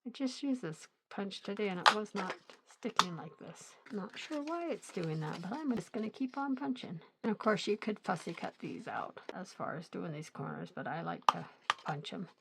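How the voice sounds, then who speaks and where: A middle-aged woman hosting a crafting channel, a little hoarse, chatting in a relaxed, rambling way while she works. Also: medium pitch, normal speed, background noise. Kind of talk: casual chat while crafting.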